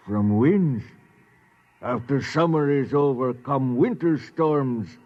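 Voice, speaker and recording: Casting: slow, fatalistic alien, broken English, polite, mid pitch, vintage radio sci-fi, dull old recording. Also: male voice. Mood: courteous, regretful. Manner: halting broken English, formal and unhurried. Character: placid, slow-witted male alien native, courteous and fatalistic. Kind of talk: 1950s radio drama acting.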